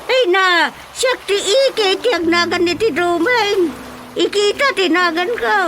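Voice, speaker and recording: A woman's voice: extremely high pitch, measured pace, noisy background. A woman with an Ilocano accent playing a chatty, insistent visitor who claims to be a relative, in exclamatory radio comedy style. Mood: pleading, insistent. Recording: loud music bed behind voice.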